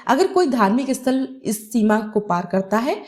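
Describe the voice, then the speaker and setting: Female news narrator, fairly high voice, scripted report delivery. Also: big pitch swings, moderate pace, a little mellow.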